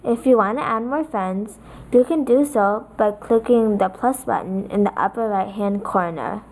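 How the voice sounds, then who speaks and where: A woman presenting a software tutorial, reading from a script as narration. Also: medium pitch, normal speed.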